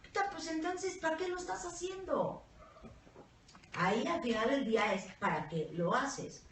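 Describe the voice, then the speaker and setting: A Mexican woman co-hosting a casual panel chat, blunt, profane and animated, with a Mexican Spanish accent. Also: high voice, normal speed, slight background noise. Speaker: co-host. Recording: echoey room, mic at a distance.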